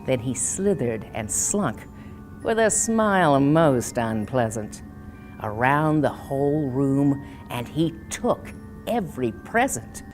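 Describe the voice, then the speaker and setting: A woman's voice: a bass voice, sing-song, slow pace, long pauses, a little mellow. A woman reading a children's story aloud expressively, over music. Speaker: library staff member reading aloud. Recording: music under the voice.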